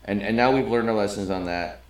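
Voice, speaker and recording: A man's voice: on the low side, fairly quick, a little hiss or hum. A middle-aged man co-hosting a podcast, talking earnestly in a rambling way and often restarting his sentences. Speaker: podcast co-host. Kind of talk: casual conversation. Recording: roomy, echoey sound.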